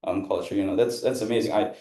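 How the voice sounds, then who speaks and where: A man from India speaks for a Buddhist teacher before an audience, rambling and restarting often. His voice sits a little low and sounds warm and relaxed. The room adds a noticeable echo. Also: quick pace. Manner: loose, rambling, many fillers and restarts. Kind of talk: interpreting a dharma talk, casual.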